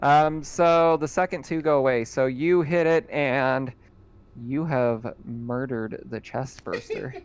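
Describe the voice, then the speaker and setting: A man running a tabletop roleplaying game as game master, explaining rules in a relaxed way with fillers. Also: mid-range voice, slow pace, a little hiss or hum.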